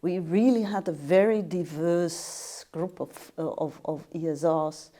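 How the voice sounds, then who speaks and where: A woman recalling a project's start in a documentary interview, unhurried and halting with fillers. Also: fairly low pitch, fairly even intonation, mellow, a little hiss or hum.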